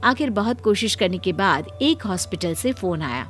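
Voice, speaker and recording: A woman's voice: medium pitch, lively intonation, moderate pace, a bit bright, soft background music. A woman reading scripted narration as a storyteller.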